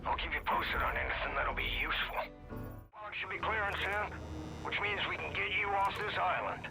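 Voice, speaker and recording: A gruff, older American survivalist briefs a rookie in a video game. The voice is a little high for a man but full of crackle, coming over a radio with music clearly behind it. The delivery is plain and folksy. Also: lively intonation, normal speed. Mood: steady, cautionary. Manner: plain-spoken, folksy, instructive.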